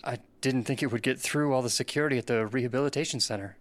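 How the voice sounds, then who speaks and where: A man playing an uncertain, deferential man in an audio drama, speaking in a hesitant, halting way as he seeks answers. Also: medium pitch, close to an everyday voice. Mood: nervous, surprised. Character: uncertain, deferential man seeking answers about his father.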